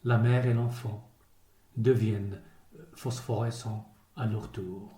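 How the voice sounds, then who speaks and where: A man recites verse very slowly in a solemn, measured way. His voice is mellow and soft-edged, with a grave delivery broken by pauses. The recording is roomy, with noticeable echo around the words. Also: fairly low pitch, fairly even intonation. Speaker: poet reading a poem.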